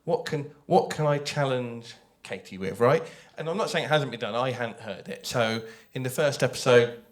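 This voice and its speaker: A man talking informally to an audience as a writer on a panel, animated and conversational, often checking in with questions. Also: mid-range voice, moderate pace. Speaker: audio drama writer on a panel.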